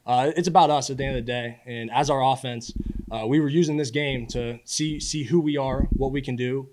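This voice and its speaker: A man in his early twenties speaks at a quick clip in a mid-range voice. He sounds like a college athlete at a press conference, casual and earnest, often repeating a word as he gathers his thought. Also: mid-range voice, fairly even intonation, a little mellow, a little hiss or hum. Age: early 20s. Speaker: college football quarterback. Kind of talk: postgame press conference answers. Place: indoor press room at a podium. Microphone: podium microphone.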